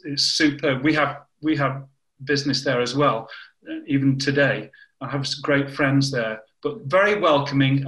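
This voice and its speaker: A man's voice: medium pitch, a bit bright, echoey room, low-quality recording. An older man from the north of England with a northern English accent, telling anecdotes in an interview and often restarting his sentences. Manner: anecdotal, self-correcting with frequent restarts. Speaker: interviewee, former scientist. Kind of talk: conversational interview.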